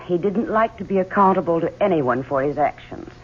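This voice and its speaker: Woman doing a sardonic slippery mistress in an old radio drama, lively lilt, dull hissy recording. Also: fairly low pitch, a little fast, close to an everyday voice. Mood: cool, sardonic. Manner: sardonic, evasive. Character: cool sardonic mistress of a murdered rich man. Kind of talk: 1970s radio drama acting.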